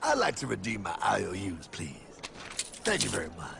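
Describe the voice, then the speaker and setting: A man's voice: fairly low pitch, huge pitch swings, moderate pace, gravelly, background noise, full character voice. A man with an American accent voicing a brash, theatrical, streetwise cartoon mentor who preaches and talks cockily. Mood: smug. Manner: dramatic, cocky, preachy, calls listener pal. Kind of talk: animated explainer cartoon acting. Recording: music bed behind the voice.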